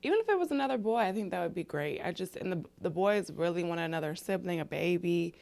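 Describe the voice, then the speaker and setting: A woman in her thirties with a fairly low voice talks in a casual, conversational way. She sounds earnest and persuasive, as a co-host making her case on a family vlog. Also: lively intonation, fast, gravelly, a little mellow. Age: 30s.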